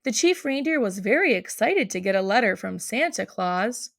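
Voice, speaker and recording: A woman's voice: mid-range voice, sing-song, moderate pace, vocal fry. A woman narrating a picture book aloud for children. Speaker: storybook reader.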